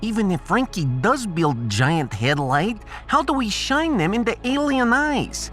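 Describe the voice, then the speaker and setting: A woman's voice: medium pitch, big pitch swings, a little slow, fairly bright tone, soft background music. A woman playing a blunt, dry-witted starship doctor with clipped grammar in a sci-fi audio drama. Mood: skeptical.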